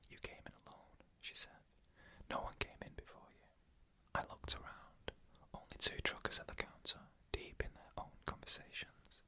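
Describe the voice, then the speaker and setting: Whispered ASMR horror narrator: very bright, crisp-edged, breathy, measured phrasing, fully hushed throughout.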